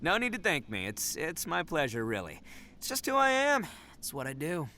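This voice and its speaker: A brash young oni brawler from a video game, boisterous and full of himself while putting on a show of modesty. His voice sits a bit high and swings around animatedly. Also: huge pitch swings, moderate pace, pushed and bright, a little hiss or hum, full character voice. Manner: boisterous, self-assured, showy modesty. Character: brash, boastful young male oni brawler, big-hearted.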